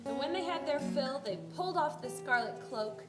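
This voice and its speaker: High-voiced woman narrating in a roomy hall. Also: animated, moderate pace. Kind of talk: dramatic scripted Bible narration. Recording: roomy live hall, faint music.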